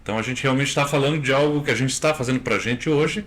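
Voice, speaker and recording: A Brazilian man in his thirties, a lawyer answering interview questions in a measured, step-by-step way with frequent tag questions. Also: mid-range voice, a bit bright. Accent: Brazilian Portuguese.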